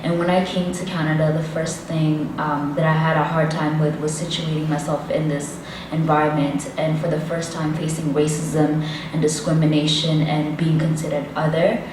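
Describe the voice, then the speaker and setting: A woman's voice: low voice, flat delivery, moderate pace. A woman artist from Sudan answering a question on a panel, thoughtful and hesitant. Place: large assembly hall. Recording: echoey hall from far off, background noise.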